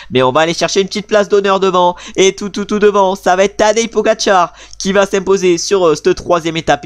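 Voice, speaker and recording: A French man giving casual, chatty commentary while playing a cycling video game, with frustrated asides about his team leader. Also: on the high side. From France.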